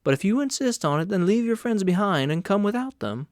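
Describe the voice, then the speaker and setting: A man's voice: high-pitched, sing-song, a little fast, a little mellow, no room sound, studio quality, voice pushed up. A man voicing a kindly, very fat, insistent woman who runs a hotel, coaxing in audiobook dialogue.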